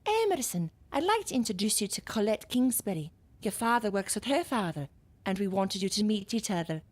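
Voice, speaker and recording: A woman's voice: medium pitch, very animated, fairly quick. A woman acting a genteel, coaxing mother. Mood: gracious. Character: genteel, persistent matchmaking mother of a grown son. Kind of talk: period audio drama acting.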